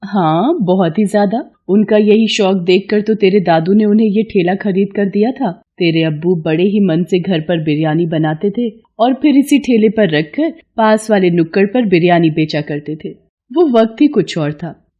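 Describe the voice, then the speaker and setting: A woman voicing a humble, poor widowed mother in a cartoon story, speaking gently, politely and plaintively. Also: mid-range voice, normal speed, lo-fi sound, close to an everyday voice. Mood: wistful. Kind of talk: cartoon moral story acting.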